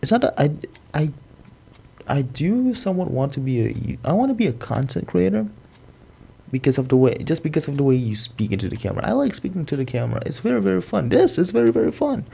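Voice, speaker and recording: A young man from Indonesia who speaks with an American accent, improvising to camera in a quick, rambling and profane way. His voice is mellow and gravelly, with a husky edge, and his pitch swings about as he talks. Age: young adult. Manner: fast, animated, swearing, rambling. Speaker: vlogger.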